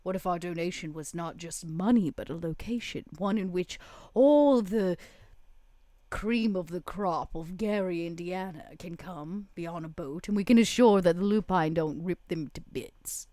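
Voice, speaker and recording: A woman roleplaying a shrewd, refined, wry female vampire in a tabletop game, with deliberate, musing phrasing. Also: on the low side, dark tone, no echo, lightly acted. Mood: shrewd, scheming.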